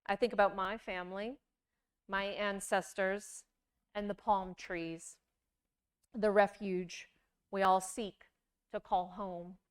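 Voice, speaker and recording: A woman giving a scripted storytelling performance, with deliberate, measured phrasing and dramatic pauses. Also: medium pitch, little pitch movement, clean tone. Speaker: monologue performer.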